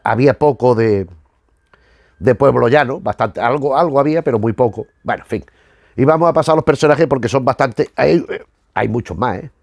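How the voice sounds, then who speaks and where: Gravelly middle-aged man from Spain. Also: medium pitch, a little mellow, dry recording. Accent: Spanish from Spain. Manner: rambling, self-correcting, emphatic repetition. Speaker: host of a retro television review show. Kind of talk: informal spoken commentary.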